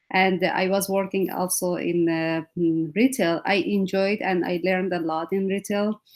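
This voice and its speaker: Woman on a webinar call, a bit low-pitched, talking slowly through her career story. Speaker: panelist. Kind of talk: webinar panel talk. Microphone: video call.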